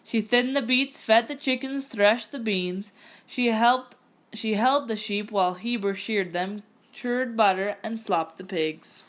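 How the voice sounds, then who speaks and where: A woman narrating a picture-book story aloud in an expressive, scripted storytelling style. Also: on the high side, a little slow.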